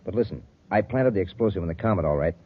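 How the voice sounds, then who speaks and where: An actor playing a cold, calm saboteur in a 1950s radio space serial, with smug, measured menace. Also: male voice, low-pitched, slightly rough. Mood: matter-of-fact. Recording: tinny, muffled old radio recording.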